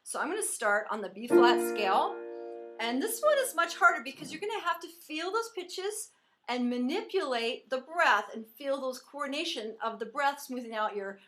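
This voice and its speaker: A woman voice teacher giving an encouraging singing lesson, explaining pitch and resonance as she demonstrates. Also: fairly bright tone.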